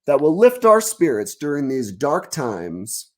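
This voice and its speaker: A man's voice: fairly high pitch, wildly swooping pitch, unhurried, voice pushed up. Man doing a pompous, swooping animal mayor. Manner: pompous, grandiloquent. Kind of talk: children's picture book read-aloud.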